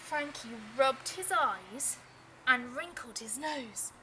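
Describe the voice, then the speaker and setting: A woman reads a picture book aloud for children in a high, bright voice. She is expressive and takes her time, leaning into key words for drama, with faint noise in the recording. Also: rough recording. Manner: expressive, deliberate, with dramatic emphasis. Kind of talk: children's storybook read-aloud.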